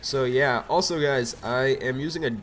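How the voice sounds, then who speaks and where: A young man with a cold, his voice a bit raspy, talking loosely over his gameplay on a desk mic at home, rambling with lots of fillers. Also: mid-range voice, moderate pace, fairly bright tone, slight background noise. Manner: rambling, filler-heavy, laid-back. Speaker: gameplay commentator. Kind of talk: casual gameplay commentary. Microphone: USB desk mic.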